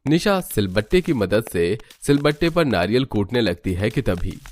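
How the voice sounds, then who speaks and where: A man reading scripted narration for a Hindi animated story as its narrator. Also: on the low side, moderate pace, soft background music.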